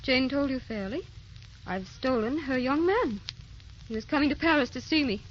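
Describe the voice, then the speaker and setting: An actress playing a gentle, youthful woman with a secret sorrow, tender and wistful, in old-time radio drama style. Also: high-pitched, expressive, normal speed, slight background noise. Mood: quietly confessing. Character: youthful, gentle, secretly sorrowful wife posing as a distant cousin. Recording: dull old radio recording, music behind.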